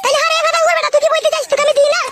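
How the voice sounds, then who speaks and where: A woman voicing a furious, sharp-tongued young village wife in a comic cartoon, shrill and cursing, with a rural West Bengal accent. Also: flat delivery, racing, heavy background noise.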